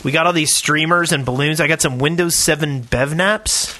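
Bright-voiced man joking around, noisy recording. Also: fairly high pitch, moderate pace. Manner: jokey, playful. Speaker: podcast co-host. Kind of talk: casual chat.

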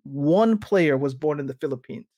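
Animated man rambling about sport. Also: fairly high pitch, moderate pace. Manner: rambling, animated, many restarts and fillers. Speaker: podcast co-host. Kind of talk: casual sports chat.

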